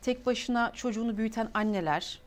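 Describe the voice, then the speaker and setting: A young woman from Limburg in Belgium, answering interview questions in a thoughtful, measured way. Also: on the high side. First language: Turkish heritage, grew up speaking several languages. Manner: thoughtful, measured, switches between languages. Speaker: interview guest.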